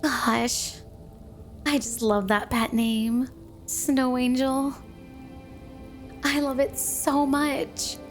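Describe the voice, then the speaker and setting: A woman's voice: high-pitched, steady tone, very slow, pauses often, soft background music. A woman playing an obsessively devoted snow woman wife in a flirty ASMR roleplay, gushing and cooing with a sweet but possessive manner. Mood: adoring, flirty. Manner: gushing, cooing, doting, dreamy.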